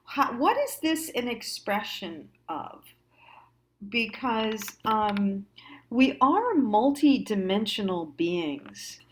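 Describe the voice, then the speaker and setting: An American woman giving a reflective monologue as a host, musing haltingly and stressing key words. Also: mid-range voice, big pitch swings. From United States. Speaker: host of a personal storytime show.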